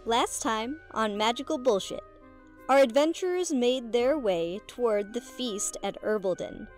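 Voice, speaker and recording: A woman's voice: a little high, measured pace. A woman reading a scripted recap as narrator of a game podcast. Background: music.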